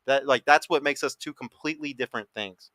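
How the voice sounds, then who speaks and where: Fast-talking bright man in his thirties. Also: medium pitch, no room sound. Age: 30s. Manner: emphatic, blunt, swears freely. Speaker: dating podcast host. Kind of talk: casual panel talk.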